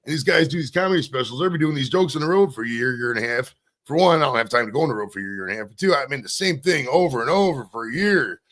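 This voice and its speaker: Man, podcast host, casual interview, profane, rambling, mid-pitched voice. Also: lively intonation, rapid-fire, fairly bright tone.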